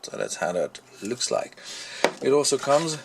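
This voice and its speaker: A man talks through a product in a casual, hands-on review at a workbench. His delivery is halting, as if working out each thought aloud, at a medium pitch. There is some noise behind him. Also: wildly swooping pitch. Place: indoor workshop at a workbench. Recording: clear background noise, handling clicks.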